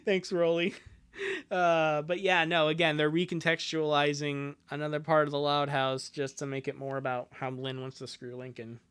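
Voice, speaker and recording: A man's voice: on the high side, flat delivery, normal speed, a bit bright, smooth. A man streaming a live reading, reacting casually with joking, mocking asides and frequent laughter.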